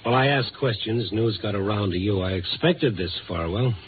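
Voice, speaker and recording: A man's voice: fairly low pitch, moderate pace, background noise, natural voice. A man playing a wry, tough newspaper reporter in a 1950s radio noir drama, with a clipped, sardonic delivery. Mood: wry, guarded. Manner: sardonic, hard-boiled, clipped. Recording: narrow-band vintage radio broadcast.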